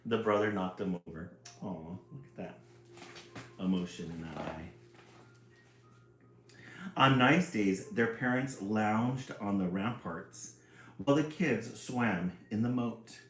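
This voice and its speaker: A man hosting a casual, expressive storybook read-aloud and adding his own chatty asides. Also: on the low side, takes it slowly, pauses often. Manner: chuckling, expressive. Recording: roomy echo, some background noise.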